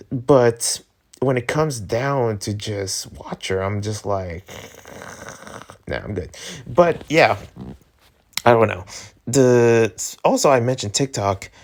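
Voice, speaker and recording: A man with a lowish voice and plenty of creak talks through his opinions. He rambles in a casual, slangy style, often halting and picking the thought back up, like the host of an informal commentary show.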